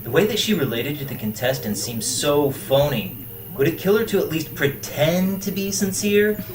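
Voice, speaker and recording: A man's voice: on the high side, expressive, moderate pace, natural voice. An actor playing a fussy, rigid, critical boyfriend in his late twenties in an audio drama.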